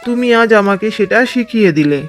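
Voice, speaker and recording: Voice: mid-range voice, animated, brisk, faint music behind, close to an everyday voice. Dipak, a snobbish young husband, as voiced. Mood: humbled. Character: well-off young husband, once snobbish, then humbled.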